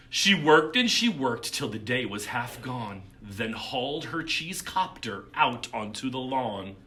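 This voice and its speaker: A man reading a children's storybook aloud theatrically, with dramatic pauses and casual asides. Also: on the low side, sharp tone, a little hiss or hum.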